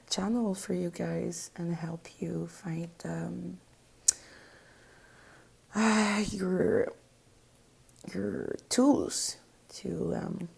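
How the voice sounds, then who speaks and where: French-accented lady doing a tarot reading, low husky gravelly voice, slow and hesitant. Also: darkish tone. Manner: hesitant, searching for words with many fillers. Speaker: tarot reader.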